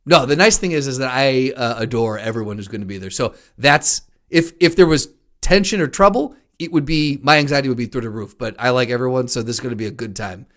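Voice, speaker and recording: A man from the United States chats as a podcast co-host, his voice sitting at a middle pitch. He talks in an easygoing, playful way, trading banter in casual conversation. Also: animated, fast talker, few pauses, fairly bright tone.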